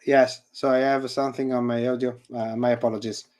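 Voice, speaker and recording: A man's voice: medium pitch, flat delivery, brisk, a little mellow. A man from Italy with an Italian accent, presenting on a video call, searching for words with many fillers and restarts. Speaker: guest presenter and university lecturer. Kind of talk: casual chat and live coding demo on a video call. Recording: audio fading in and out.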